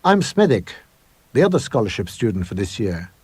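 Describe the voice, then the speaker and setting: A man acting as a small, untidy schoolboy in a ghost story reading. The voice is lifted and husky, eager but uneasy, full of boyish chatter. It sounds close and dry. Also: lowish voice, sing-song, normal speed, darkish tone, no room sound, pitched above the performer's own voice.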